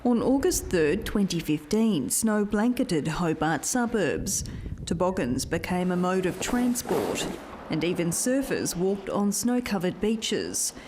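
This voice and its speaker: A woman's voice: fairly low pitch, big pitch swings, a little slow, a little mellow, slight background noise. A woman with an Australian accent narrating a scripted news report as a reporter.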